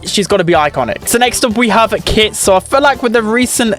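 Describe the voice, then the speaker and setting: A man's voice: sits high, expressive, fairly quick, fairly bright tone. A man hosting a casual, opinionated ranking commentary in a chatty, informal way with exaggerated opinions. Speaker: video creator and host.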